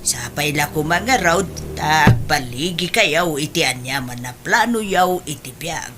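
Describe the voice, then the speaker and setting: A woman's voice: deep voice, lively intonation, a little slow, music in the background. A woman playing a caring older mother, anxious and doubtful, in an audio drama. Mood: worried, caring. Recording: clearly noisy background.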